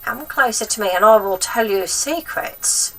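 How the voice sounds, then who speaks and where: Woman voicing a sinister, creaky old grandmother. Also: a little high, lively intonation, a bit bright, recorded from a distance, voice pushed up, rough recording. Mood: sly, menacing. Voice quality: throaty, breathy whisper at times. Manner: drawn-out, menacing, relishing nasty words.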